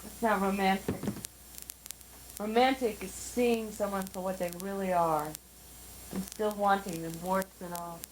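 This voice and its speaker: A woman's voice: mid-range voice, fairly even intonation, a little slow, a little hiss or hum, lightly acted, low-quality recording. An actress playing a guarded woman in her thirties with a dry, sardonic way of talking. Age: 30s.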